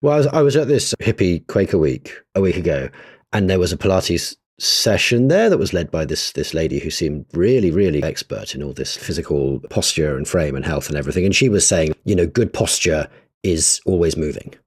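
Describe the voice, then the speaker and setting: Very deep husky-voiced man, hesitant. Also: animated, fairly quick. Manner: hesitant, filler-heavy, thoughtful rambling. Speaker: co-host. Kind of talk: casual podcast chat.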